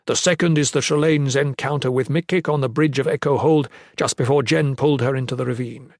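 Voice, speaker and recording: A man reading the part of a stern, earnest fantasy mage in a formal, deliberate manner. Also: mid-range voice, steady tone, a little mellow, no room sound, clean studio sound, close to an everyday voice. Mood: solemn, firm. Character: stern, earnest male mage, pleading and warning. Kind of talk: fantasy audiobook character reading.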